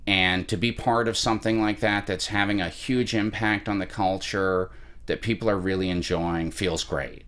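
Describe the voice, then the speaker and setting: A young man, an actor, answering interview questions in an earnest, measured way with some restarts. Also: sits low, fairly even intonation, fairly bright tone. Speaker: actor guest.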